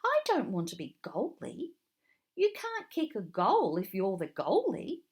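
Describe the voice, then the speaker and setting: A woman voicing an earnest, easily discouraged young boy soccer player in a storybook, in an expressive way. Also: medium pitch, a little slow. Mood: dismayed.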